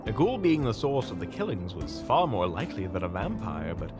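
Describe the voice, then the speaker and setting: A man's voice: lowish voice, sing-song, a little fast, loud music behind the voice, voice pushed up. A man voicing an inquisitive, courteous mentor in a fantasy audio drama, speaking in a formal, inquiring manner. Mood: curious, surprised.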